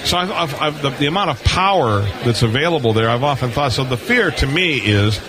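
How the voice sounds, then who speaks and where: A man's voice: medium pitch, animated, a little fast, a crowd in the background. An American man hosting a casual live radio chat, talking in a folksy, rambling way and repeating words. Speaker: radio show host. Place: booth on busy convention floor. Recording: noisy convention hall floor, live broadcast.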